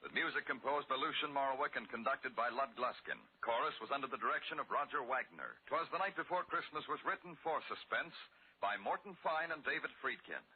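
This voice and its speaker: A man reading scripted announcements in a formal, measured 1950s radio style. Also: mid-range voice, lively intonation, breathy edge. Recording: muffled band-limited old radio broadcast.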